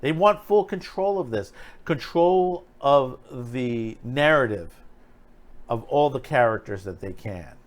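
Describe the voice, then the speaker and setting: A man's voice: medium pitch, expressive. A man giving opinionated, off-the-cuff pop culture commentary as a video host, emphatic and critical, often repeating himself and restarting phrases. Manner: halting, repetitive, restarting phrases mid-thought.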